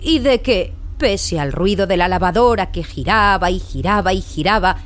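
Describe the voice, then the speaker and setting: A woman's voice: mid-range voice, lively intonation, normal speed, a little hiss or hum, close to an everyday voice. A woman narrating a children's radio tale playfully, repeating words for effect. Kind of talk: scripted children's story narration.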